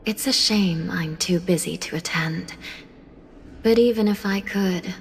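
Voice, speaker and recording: A woman playing a wistful, weary and composed ghostly official in a video game, soft and breathy, speaking in a measured, gently formal way. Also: fairly low pitch. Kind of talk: video game story dialogue. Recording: game music behind the voice. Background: music.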